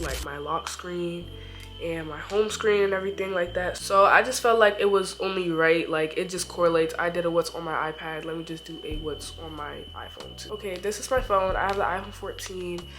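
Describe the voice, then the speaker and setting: Young woman, stuffy congested voice, lowish pitch, loose chatty vlog talk, faint music behind. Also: fairly bright tone. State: congested from a cold. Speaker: vlogger. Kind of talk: casual chat.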